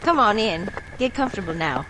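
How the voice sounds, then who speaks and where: A woman's voice: medium pitch, sing-song, a little fast, noisy background, lightly acted. An actress playing a kindly, knowing folk healer woman, motherly and commanding, in an audio horror drama. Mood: welcoming.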